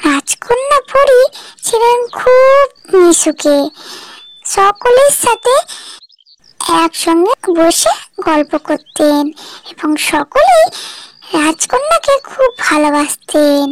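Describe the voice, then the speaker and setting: A woman's voice: very high-pitched, slight background noise, rough recording. A woman giving scripted narration of a fairy tale as the storyteller.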